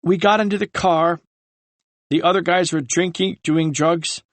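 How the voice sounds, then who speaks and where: A man voicing a guilt-ridden young man who confesses haltingly, on the edge of tears. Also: sits high, fairly even intonation, no room sound. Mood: haunted. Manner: halting, breaking with remorse. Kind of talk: audiobook character reading.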